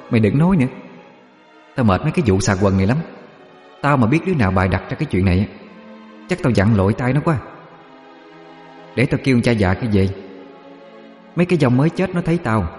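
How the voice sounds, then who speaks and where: Scold fast and impatiently as a bossy female spirit, voice lifted high , a man putting her on. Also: expressive, a little mellow, reverberant, long reverb tail, soft background music, close to an everyday voice.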